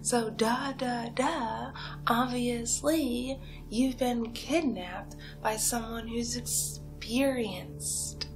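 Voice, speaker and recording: A woman's voice: a little high, very slow, music in the background. A woman performing ASMR roleplay as a playful, possessive villainess kidnapper, teasing and cutesy as she drifts in and out of whispers. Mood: boastful, playful.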